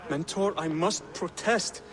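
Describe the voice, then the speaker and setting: A rigid, devout young disciple, high-pitched and earnest. He presses his objections insistently. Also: a little slow, a bit bright. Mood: protesting. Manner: earnest, protesting. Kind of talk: video game cutscene acting.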